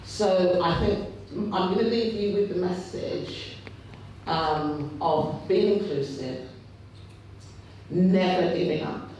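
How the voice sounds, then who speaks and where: Male union speaker, big loud voice, impassioned and repetitive, heard far off in a very echoey hall with faint noise. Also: sits high, takes it slowly. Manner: impassioned, repetitive rhetorical buildup. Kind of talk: rally speech at a union fringe meeting. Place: meeting hall. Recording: very echoey hall, picked up from afar.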